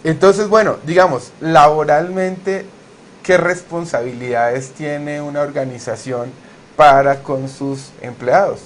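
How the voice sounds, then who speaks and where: A man's voice: fairly high pitch, takes it slowly, a little hiss or hum. A man hosting a recorded class module, who talks in a friendly, chatty way with frequent fillers and introduces his guest. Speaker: course instructor, host. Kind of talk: hosted class interview.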